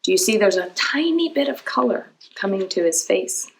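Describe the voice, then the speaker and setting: A woman speaks as a children's librarian at storytime. Her pitch is average for a woman. She explains things in simple phrases, often asking her young audience questions and answering them herself. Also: expressive, normal speed, vocal fry. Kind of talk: children's storytime read-aloud and talk to young viewers.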